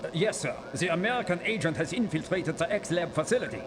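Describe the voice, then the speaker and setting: An actor playing a nervous, obedient soldier underling reporting deferentially in a video game cutscene. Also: male voice, high voice, lively intonation, quick pace. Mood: anxious, dutiful. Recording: echoing hall, noisy background.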